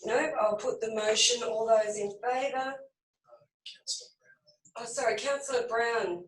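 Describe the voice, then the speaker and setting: A woman's voice: a little high, steady tone. Australian woman chairing from a distant mic. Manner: halting, procedural, with fillers. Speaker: mayor chairing a council meeting. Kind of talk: formal meeting procedure. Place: council chamber. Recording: echoey room, far from the mic.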